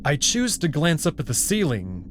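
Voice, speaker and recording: A man reading a visual novel aloud as its narrator, in an expressive storytelling style. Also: a little high, moderate pace, a little hiss or hum. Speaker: narrator and voice performer.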